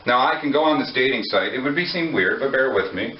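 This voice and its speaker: A man's voice: medium pitch, lively intonation, fast. A man preaching a sermon in a conversational way, joking and putting questions to his listeners. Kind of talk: sermon to a congregation. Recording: echoey room, far from mic, dull lo-fi.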